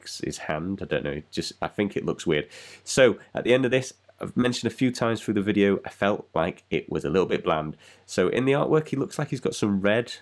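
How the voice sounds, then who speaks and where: A man's voice: fairly low pitch, vocal fry, a little mellow. A man with a cold, rambling casually as he talks through painting a miniature. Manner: rambling, self-deprecating, chatty. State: congested with a cold. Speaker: miniature painting channel host. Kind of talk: casual painting tutorial voice-over.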